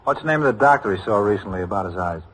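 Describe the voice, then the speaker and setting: A polite American police sergeant, with a fairly low voice. He is patient and restrained, putting questions courteously in the low-key style of an old radio drama. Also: animated, racing, a bit bright, slightly rough, low-quality recording. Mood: probing, polite.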